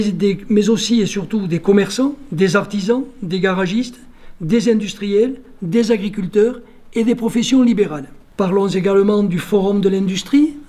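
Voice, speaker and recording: A man, a local official, delivering a formal prepared speech in measured institutional phrasing with careful lists. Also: high voice, dark tone.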